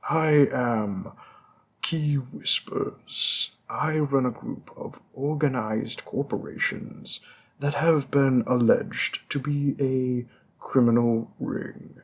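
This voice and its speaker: A man's voice: medium pitch, breathy edge. A man playing a smug, flirtatious mob boss who teases a detective and drops veiled threats. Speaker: audio roleplay performer. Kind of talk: scripted audio roleplay.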